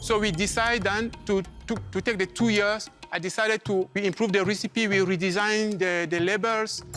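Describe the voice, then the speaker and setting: A man from Cameroon, an English speaker, pitching his food business with showy enthusiasm on a TV clip. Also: fairly even intonation, normal speed, a bit bright. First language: other than English. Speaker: entrepreneur pitching. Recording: TV clip with music.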